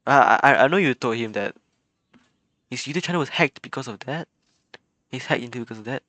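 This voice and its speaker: A man chatting casually on a game stream, halting and often repeating his words. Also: mid-range voice, sharp tone, dry recording. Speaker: live streamer.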